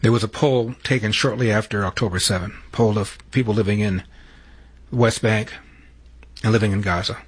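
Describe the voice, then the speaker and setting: A man hosting a radio talk show, taking calls and arguing his points in a measured way. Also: lowish voice, steady tone, breathy edge, no echo. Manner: measured, argumentative, repeats himself when interrupted.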